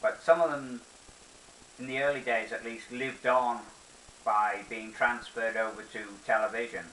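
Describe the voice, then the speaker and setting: Older man, slow, in an echoey room. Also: medium pitch, fairly even intonation, a bit bright. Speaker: presenter. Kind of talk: nostalgic reflective monologue. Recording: roomy echo, distant mic, faint hiss.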